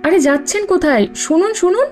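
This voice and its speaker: A woman's voice: very high voice, animated, brisk, a little mellow, faint music behind. An actress playing a determined young woman in an audio horror drama, asking questions in a firm, probing way. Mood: insistent.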